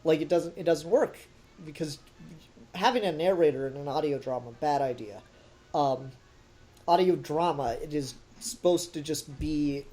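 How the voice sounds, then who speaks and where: A man's voice: fairly high pitch, mellow. A man co-hosting a review podcast, talking casually and critically in an emphatic, opinionated way.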